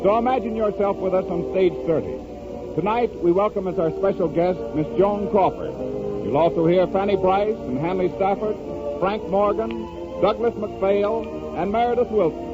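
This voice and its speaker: A man's voice: sits high, moderate pace. An American man announcing a variety program in 1930s radio style. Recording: muffled 1930s radio recording. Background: loud music.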